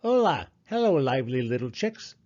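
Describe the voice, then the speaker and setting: A man's voice: mid-range voice, very animated, normal speed, a little mellow, lo-fi sound, pitched above the performer's own voice, natural voice. A man voicing a friendly, cheerful mole in a storybook reading.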